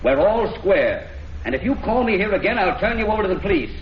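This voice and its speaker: High-voiced agitated young schemer. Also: male voice, big pitch swings, a little fast. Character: agitated young well-off schemer, defensive and curt. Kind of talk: 1940s radio crime drama acting. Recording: roomy, dull, noisy old radio recording.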